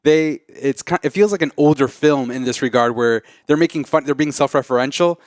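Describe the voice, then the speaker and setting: A man's voice: mid-range voice, fairly quick, darkish tone, no echo, studio quality. A man co-hosting a movie review in a casual chat, acting out bits as he tells them. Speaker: co-host of a movie review show.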